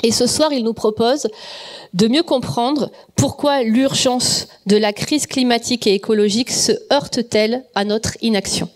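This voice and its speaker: Woman host introducing a lecture, a bit high-pitched, calm and polite. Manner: measured, polite, read-out. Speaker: event host. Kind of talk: formal introduction.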